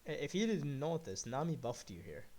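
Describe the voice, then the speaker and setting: Animated man coaching a video game. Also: a little high, normal speed. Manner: animated, breaks into loud excited yelps. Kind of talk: casual coaching chat over gameplay review.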